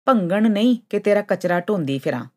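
A woman's voice: medium pitch, animated, brisk, pitched above the performer's own voice, lightly acted. A woman voicing a haughty, hot-tempered young female ginger-root character in a folk tale, scolding and boasting indignantly. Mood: haughty anger.

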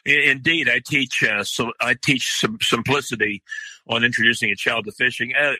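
An older man with a deep, loud voice, talking slowly in a podcast interview with many pauses and restarts. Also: fairly even intonation, no room sound, low-quality recording. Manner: slow, halting, many fillers and restarts. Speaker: guest, outdoor writer.

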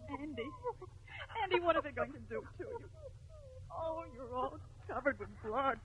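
An actress playing a distressed, emotional young heroine who pleads earnestly in a 1950s radio drama. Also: female voice, high-pitched, lively intonation, normal speed, a bit bright. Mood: distressed, pleading. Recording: muffled, noisy old radio recording.

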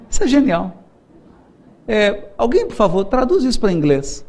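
A man delivering a religious lecture. His voice sits high and swings widely in pitch. He is lively and humorous, repeating words for emphasis. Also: slight background noise. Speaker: Spiritist lecturer.

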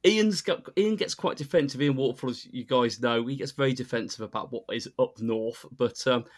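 A man's voice: medium pitch, a little fast. A man who grew up in northern England, a podcast guest talking in friendly casual chat and laughing often.

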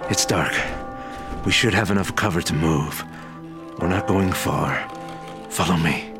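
An actor playing Quasimodo, a lonely male bell-ringer turned guardian, speaking in a measured, quietly sad way in a radio drama. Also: deep voice, over music. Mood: grave.

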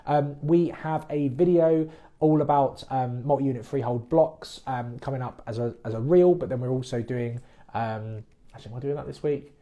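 A man's voice: mid-range voice, steady tone, moderate pace, warm tone, lo-fi sound. A man hosting a casual podcast, answering listeners' mortgage questions in a chatty, plain-spoken way. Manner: chatty, explains things plainly. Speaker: mortgage broker and podcast host. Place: based in the Midlands.

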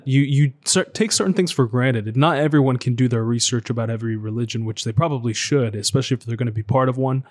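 A man hosting an interview in casual chat, speaking informally and haltingly as he rambles through his thoughts. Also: mid-range voice, a little mellow. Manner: informal, rambling, halting, acts out quotes in loose everyday speech. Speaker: podcast host interviewing a guest.

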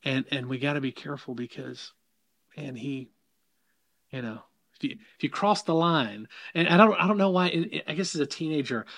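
A man's voice: a little high, moderate pace, vocal fry, fairly bright tone, dry recording. A middle-aged man with a Southern US accent, a minister talking as an interview guest in an emphatic, preacherly way with many restarts. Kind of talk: casual interview conversation. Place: in person, New York.

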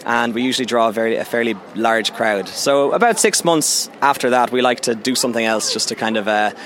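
A man's voice: medium pitch, a little fast, fairly bright tone, a little hiss or hum. A man in his twenties with an Irish accent, talking casually as a festival organizer, with some fillers and restarts. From Ireland. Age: 20s. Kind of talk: casual interview.

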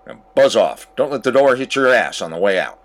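A man's voice: mid-range voice, big pitch swings, a little fast. A man playing a smug, ruthless corporate boss with a pompous, sardonic manner in a campy audio drama.

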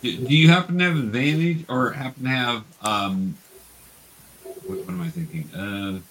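A man's voice: medium pitch, normal speed, fairly bright tone, low-quality recording. Patient man guiding a tabletop game. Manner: patient step-by-step instructing. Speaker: game master. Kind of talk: running a tabletop game for young beginners.

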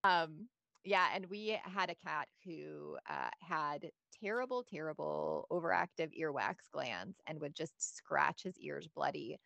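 A woman's voice: deep voice, expressive, a little slow, gravelly, a bit bright. A woman from Bosnia chatting casually as a podcast guest, joking and self-deprecating. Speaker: guest, pet product founder.

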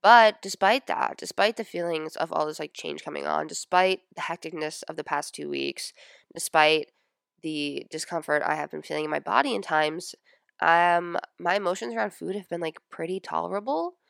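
Young woman, twenties, podcast host, stuffed-up nose, gravelly fry, casual rambling delivery. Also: deep voice, normal speed, a bit bright, dry recording, studio quality. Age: 20s. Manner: chatty, lots of "like" and "um". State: stuffy nose from a sinus infection. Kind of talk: casual personal podcast chat.

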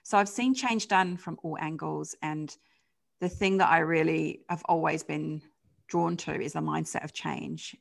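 A middle-aged woman with a British accent speaks as an interview guest. Her voice sits low, with a gravelly, creaky edge. She talks in a relaxed, chatty way, full of ums and mid-sentence corrections. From United Kingdom. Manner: conversational, lots of fillers and self-corrections. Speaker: guest, change management consultant. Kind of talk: podcast interview.

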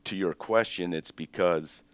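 A man in his fifties, born in Greece and raised in the United States, telling his family's story as a restaurant-owner guest in a relaxed, rambling way with many ums. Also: deep voice, measured pace, clear, resonant tone, no room sound. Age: 50s. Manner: relaxed, rambling, with frequent ums and self-corrections. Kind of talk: casual interview storytelling.

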